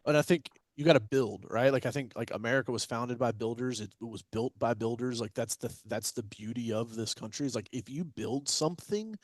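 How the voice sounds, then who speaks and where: A man's voice: mid-range voice, a little fast, hardly any pauses, no echo. A man hosting a podcast interview, chatting casually and often repeating words as he starts sentences. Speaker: podcast host.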